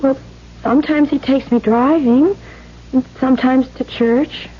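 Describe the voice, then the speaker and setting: A sheltered, adoring sixteen-year-old girl. Her voice is high, girlish and pleading, with timid breathlessness. The recording is a muffled 1970s radio play. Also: steady tone, measured pace, slightly rough. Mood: pleading, curious. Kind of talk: 1970s radio drama acting. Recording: dull 1970s radio broadcast.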